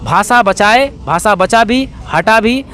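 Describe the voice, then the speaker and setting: A man's voice: high voice, slow pace, fairly bright tone. A man from Jharkhand with a Jharkhandi accent answers interview questions as an activist, explaining emphatically with repeated phrases and rhetorical questions. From Jharkhand, India.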